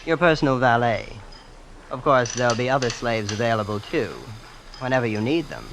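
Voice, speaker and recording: An actor playing a breezy, immensely rich young heir who is casually ruthless, boasting in a nonchalant, understated way in an old-time radio drama. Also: male voice, mid-range voice, normal speed, close to an everyday voice. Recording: old radio broadcast with clear noise.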